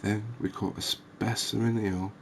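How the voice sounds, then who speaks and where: A man giving relaxed, unhurried, musing ASMR-style gameplay commentary, chatting to his viewers. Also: fairly low pitch, gravelly, a little hiss or hum. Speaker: host of a cozy fishing game channel.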